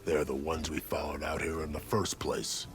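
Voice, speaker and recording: A seasoned scout in a video game with an extremely low voice. He is folksy and plain-spoken, reporting what he has seen in a matter-of-fact way. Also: flat delivery, moderate pace, faint music behind. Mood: informative, easygoing. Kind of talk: video game character acting.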